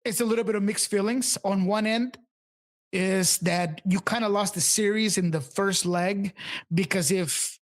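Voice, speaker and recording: A man with a slightly raspy voice co-hosting a casual sports podcast, talking loosely and emphatically with lots of fillers and restarts. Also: sits high, unhurried. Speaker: podcast co-host, team insider.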